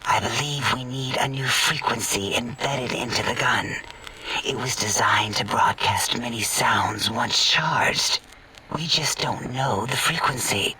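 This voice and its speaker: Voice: medium pitch, measured pace, sharp tone, far from the mic, a little hiss or hum, rough recording. A performer voicing a sultry, doting, clinical robotic scientist in a sci-fi comedy game, coy and whispery.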